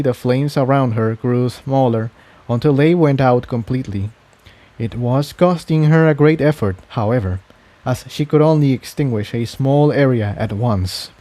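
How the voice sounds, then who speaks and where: A man's voice: mid-range voice, warm tone, dry recording. A man reading a scripted adventure story aloud as the narrator. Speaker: fan fiction narrator.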